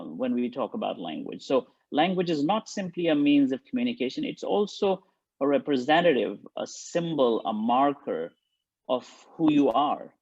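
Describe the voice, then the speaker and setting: Halting man lecturing over a dull call. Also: mid-range voice, vocal fry, a little mellow, husky, no room sound. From India. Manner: hesitant, many ums and restarts. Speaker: guest speaker, linguistics professor. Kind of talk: webinar lecture. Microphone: video call. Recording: dull lo-fi dry sound.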